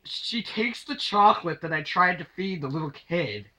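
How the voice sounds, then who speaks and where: Bright-voiced young man telling a casual story. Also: high-pitched, poor audio. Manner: animated, sweary, halting, acts out dialogue. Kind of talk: casual anecdote told to camera.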